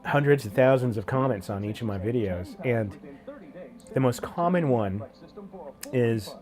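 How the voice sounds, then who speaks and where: A man's voice: medium pitch, expressive, measured pace, darkish tone, faint music behind. A man with a head cold, sounding congested and sniffly, hosting a live stream in a laid-back, slangy way as he greets viewers by name.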